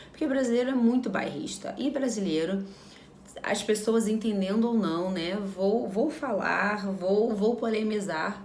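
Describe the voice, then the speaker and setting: A woman's voice: mid-range voice, a little hiss or hum. Frank Brazilian woman in a roomy space. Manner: frank, opinionated, repeats phrases for emphasis. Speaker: vlogger. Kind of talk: casual talk to camera.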